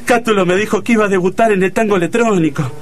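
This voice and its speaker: A man's voice: sits high, fast, over music, lightly acted. An actor playing a melodramatic, self-pitying older father in a comic radio play, plaintive and overwrought, with a Buenos Aires accent. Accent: Buenos Aires Argentine.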